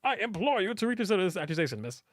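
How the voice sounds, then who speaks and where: A man's voice: sits high, sing-song, racing, no room sound, pitched above the performer's own voice. A man voicing a pompous, stubby male art curator, sputtering and easily outraged, in a visual novel. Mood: affronted. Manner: sputtering, indignant.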